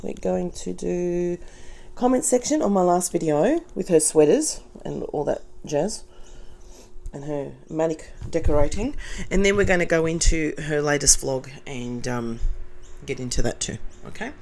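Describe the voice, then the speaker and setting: A middle-aged Australian woman with a lowish, nasal, croaky morning voice. She reads out viewer comments in a casual vlog and answers them with blunt, sarcastic asides. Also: unhurried, a little mellow, slight background noise. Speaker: commentary vlogger.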